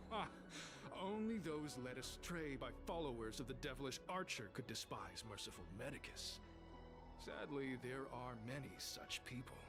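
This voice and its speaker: A man's voice: mid-range voice, close to an everyday voice. An actor playing a devout male cult disciple in a video game, preaching in a solemn, formal, sanctimonious manner. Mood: pious, scornful.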